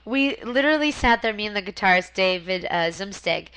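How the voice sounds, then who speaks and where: A woman's voice: medium pitch, expressive, fairly quick, fairly bright tone, clear, resonant tone. A young adult woman, a guest actress and singer, chatting casually in an interview with frequent laughs and fillers.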